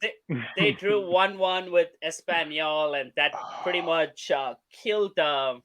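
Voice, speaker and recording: An upbeat man hosts a casual sports podcast, his voice bright and a touch high. He keeps things moving, tossing quick questions to his co-hosts. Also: a little hiss or hum, rough recording. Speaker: podcast host.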